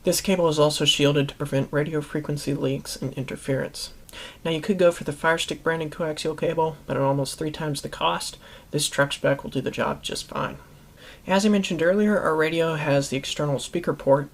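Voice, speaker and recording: A man's voice: a little high, steady tone, fairly quick. A man hosting a how-to video, giving instructional narration to viewers. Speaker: host of a how-to product video series.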